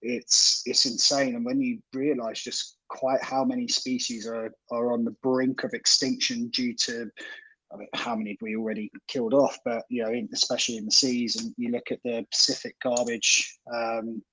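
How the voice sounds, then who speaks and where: A man answering questions on a casual group call, earnest and halting, with many ums. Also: mid-range voice, level tone, pushed and bright, slightly rough, no echo, poor audio. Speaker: project co-founder answering questions.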